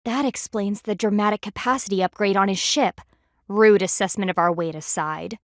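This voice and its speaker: A woman's voice: medium pitch, normal speed, no echo, studio quality, lightly acted. A woman voicing a stern, proud female panda warrior in a video game, with formal, clipped and dryly sardonic diction. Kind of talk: video game character voice lines.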